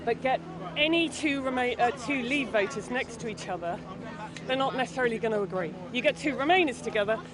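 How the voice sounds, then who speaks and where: A male protester outdoors, talking in a chatty, quick way. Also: extremely high pitch, a crowd in the background. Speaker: street protester.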